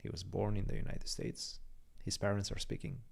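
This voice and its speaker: A man's voice: fairly low pitch, little pitch movement, brisk, creaky. A young man whose first language is Romanian, co-hosting a chess stream, chatting casually with viewers and thinking aloud over moves. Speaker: chess podcast co-host reading chat. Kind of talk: casual livestream chat with game commentary.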